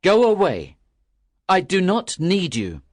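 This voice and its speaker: A woman's voice: extremely low pitch, big pitch swings, takes it slowly, dry recording, studio quality, pitched below the performer's own voice, heavily acted. A woman voicing a stubborn young fisherman who speaks in a firm, commanding way.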